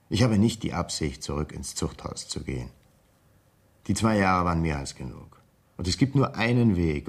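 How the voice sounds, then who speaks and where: A man acting in a German radio crime drama as a cool, menacing escaped convict, sardonic and insistent, with a husky voice. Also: very deep voice, heavily acted.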